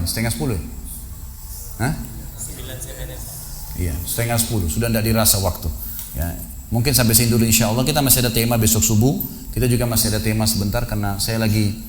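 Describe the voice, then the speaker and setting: A man preaching at a mosque gathering, telling a story with lively, acted-out dialogue. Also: a little hiss or hum. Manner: animated storytelling, acts out dialogue with rising pitch.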